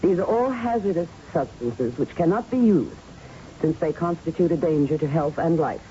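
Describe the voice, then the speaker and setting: A young woman physician with a dark, expressive voice. She is earnest, formal and unbending. The sound is that of a muffled old radio drama. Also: low-pitched, normal speed. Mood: firm. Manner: firm, formal, precise. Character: earnest, principled young female plant doctor. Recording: muffled, faintly noisy old radio recording.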